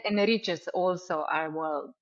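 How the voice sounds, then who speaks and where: A woman's voice: fairly low pitch, slow pace, muffled recording. A woman with a Slovene accent hosting a podcast interview, effusive and friendly, with many fillers. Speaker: podcast host.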